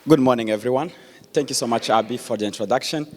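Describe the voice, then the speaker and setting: A man, giving an earnest public speech with many pauses and restarts. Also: medium pitch, darkish tone, a faint crowd. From Democratic Republic of Congo. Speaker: guest speaker. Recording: echoey hall.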